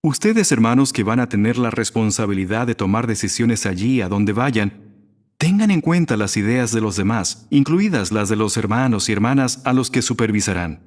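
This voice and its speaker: A man delivering a prepared religious sermon in a measured, didactic manner. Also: fairly low pitch, animated. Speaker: religious speaker at a graduation.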